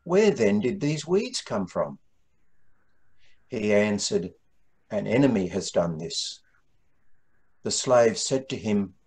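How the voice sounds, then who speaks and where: An older man reads scripture aloud in a solemn, measured way. His voice sits fairly low and he takes his time, speaking very slowly. It is recorded indoors at home on a computer mic. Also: a little mellow, husky. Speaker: Gospel reader at an Anglican service. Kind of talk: scripture reading. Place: small room at home, indoors.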